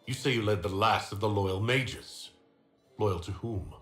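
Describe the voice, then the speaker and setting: In an echoey room over faint music, the composed hero of a fantasy game. His voice is on the low side, and he speaks in a formal, polite, direct manner. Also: unhurried, a bit bright. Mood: probing. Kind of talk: video game voice acting.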